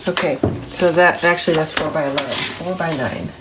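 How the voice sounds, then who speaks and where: A middle-aged woman, a little gravelly from grogginess, hosting a papercraft tutorial in a chatty, step-by-step way. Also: deep voice, a little fast, heavy background noise. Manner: chatty, step-by-step, self-correcting. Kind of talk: crafting tutorial voice-over.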